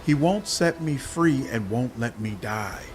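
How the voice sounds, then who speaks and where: Man voicing a slow, halting demon insect swarm. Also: medium pitch, lively intonation, soft background music, close to an everyday voice. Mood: anguished. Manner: halting, intoning lists of synonyms one by one. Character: many-bodied demon insect swarm, female, captive, hungry, suffering. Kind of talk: game character dialogue.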